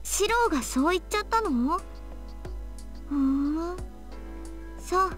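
A woman's voice: extremely high pitch, expressive, normal speed, fairly bright tone, soft background music. A woman voicing a precocious, haughty little girl who speaks frankly and without reserve. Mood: blunt, knowing. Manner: frank, unreserved.

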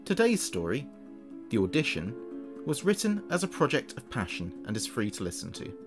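A man's voice: mid-range voice, huge pitch swings, moderate pace, over music. A man hosts a story podcast, reading a scripted introduction. He delivers it as a narrator would, addressing listeners directly.

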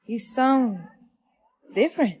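An actress playing a determined, teasing young rebel activist in a game cutscene, casual in manner. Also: female voice, on the high side, lively intonation, slow, darkish tone. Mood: teasing resolve. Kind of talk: video game cutscene acting. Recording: faint background ambience.

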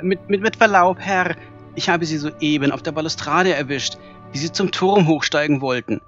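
A man's voice: a little high, moderate pace, fairly bright tone, highs cut off, soft background music, close to an everyday voice. A man playing an anxious, deferential valet in a fantasy audio drama, eager to please. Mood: worried, apologetic. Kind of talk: fan-made fantasy audio drama acting.

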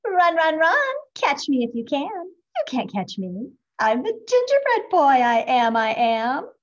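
A woman's voice: high-pitched, huge pitch swings, unhurried, highs cut off, pitched above the performer's own voice, exaggerated voice. A woman voicing a cheeky, boastful little gingerbread boy who taunts in a sing-song way. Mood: taunting.

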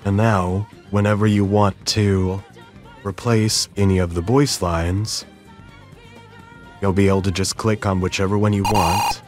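A man narrating a step-by-step software tutorial as its host. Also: on the low side, level tone, measured pace. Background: background music.

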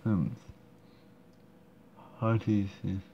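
Hesitant gravelly man, muffled recording. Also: lowish voice, steady tone, very slow. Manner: hesitant, many fillers and restarts. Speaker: player commenting on a game. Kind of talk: halting casual commentary. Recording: dull muffled recording, faint room noise.

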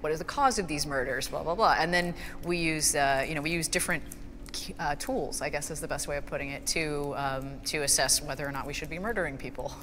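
A woman's voice: sits low, fairly quick, a bit bright, in a large hall, a little hiss or hum. A woman in her thirties, a volcanologist, explaining her view casually during a panel discussion. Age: 30s.

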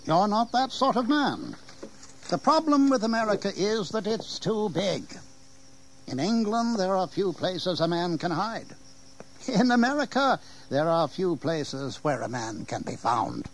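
A man playing a confident, evasive colonial English official in an audio history drama, speaking in measured declamation. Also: sits high, very animated, heavily acted. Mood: assured. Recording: 1980s audio drama with background noise.

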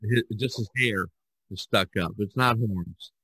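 Man with a bright, forceful low voice. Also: unhurried, slightly rough, no echo, poor audio. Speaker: podcast host discussing art. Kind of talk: casual conversational discussion.

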